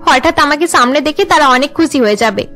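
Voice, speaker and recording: A woman voices a cheerful young woman in a cartoon family drama. She sounds high, eager and expressive, an affectionate daughter, with music behind her. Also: fast talker. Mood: excited. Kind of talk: melodramatic cartoon dialogue.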